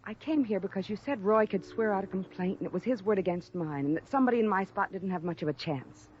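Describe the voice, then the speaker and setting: On a dull old radio recording with light hiss, an actress plays a troubled young American woman under suspicion. She has a mid-pitched voice and sounds defensive, pleading and wounded, with the melodrama of a fifties serial. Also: animated, fast talker, darkish tone, natural voice. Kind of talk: 1950s radio drama acting. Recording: muffled, hissy vintage radio recording.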